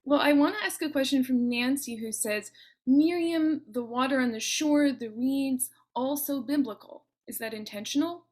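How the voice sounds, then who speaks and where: A woman hosts a book club conversation and reads audience questions aloud to the author. Her tone is friendly and easygoing. Her voice sits a little high. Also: moderate pace, a little mellow. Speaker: interviewer, editor and moderator. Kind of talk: moderating a live book club Q&A.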